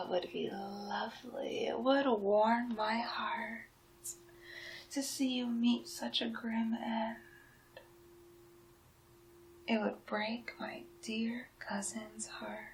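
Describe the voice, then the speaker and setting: A woman playing a sly, menacing town witch in a soft-spoken, whispered ASMR roleplay, murmuring deliberately. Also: exaggerated voice, rough recording. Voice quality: soft, breathy whispering mixed with low-volume speech.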